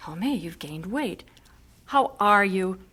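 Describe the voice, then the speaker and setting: An actress playing an affectionate, strong-willed missionary wife in an old radio drama. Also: female voice, medium pitch, expressive, slow, pushed and bright, breathy edge, exaggerated voice. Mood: joyful, affectionate.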